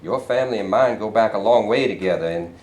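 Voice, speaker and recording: A man's voice: fairly low pitch, quick pace, a little mellow, close to an everyday voice. An actor in a staged reading playing a sly, evasive state governor who talks down to his visitors. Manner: evasive, patronizing, mock-genial. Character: sly smooth-talking male state governor. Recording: echoey room, mic at a distance, faint hiss.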